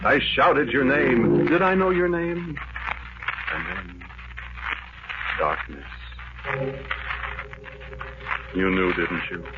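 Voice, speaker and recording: An actor playing a haunted, yearning man in a 1940s radio drama, speaking in halting, intense, poetic phrases. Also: mid-range voice, very animated. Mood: intense, remembering. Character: haunted, obsessive lovelorn man, brooding and yearning. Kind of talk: 1940s radio acting, first-person dramatic monologue. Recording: muffled, very noisy old radio recording.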